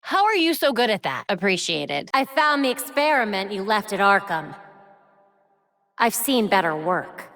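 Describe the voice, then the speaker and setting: Young female vigilante, American accent, animated pitch swings, dry close studio sound, wry, determined, video game dialogue. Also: moderate pace, dry recording. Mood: wry, playful. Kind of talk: video game voice acting, short dialogue lines.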